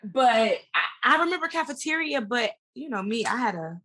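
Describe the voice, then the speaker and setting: A woman's voice: a little high, sing-song, normal speed, bright, forceful tone. A woman speaking as a podcast guest in casual chat, with an informal, slangy way of talking. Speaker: podcast guest, actress and business owner.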